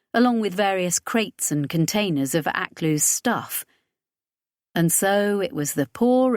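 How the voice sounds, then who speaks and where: A woman's voice: medium pitch, no room sound, clean studio sound. A woman reading scripted narration from a science fiction audiobook.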